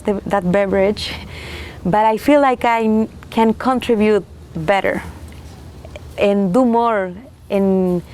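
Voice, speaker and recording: Young Chilean woman, slow and halting, laughs softly, faint room noise. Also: mid-range voice. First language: Spanish. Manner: unhurried, halting, frequent soft laughs. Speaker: winemaker, interviewee. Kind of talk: oral history interview.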